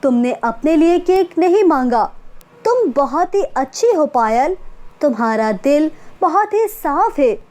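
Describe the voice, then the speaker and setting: A gentle, kind fairy speaks in a soothing, very high storybook voice, as a woman puts her on. She reassures and promises help. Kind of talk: storybook character voice.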